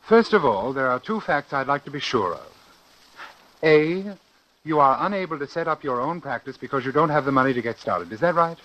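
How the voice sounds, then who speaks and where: A man acting a cold, scheming doctor in a 1940s radio drama, speaking formally and deliberately, with calculating, persuasive courtesy. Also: mid-range voice, lively intonation, bright, forceful tone, rough recording. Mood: businesslike. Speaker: doctor and first-person narrator. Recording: vintage 1940s radio recording, music behind.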